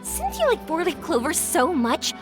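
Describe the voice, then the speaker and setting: An actress playing a bubbly, warm-hearted young woman in a video game, friendly, enthusiastic and chatty. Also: extremely high pitch, wildly swooping pitch, normal speed, heavily acted. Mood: warm, excited. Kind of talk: English video game voice acting. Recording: music playing behind the voice.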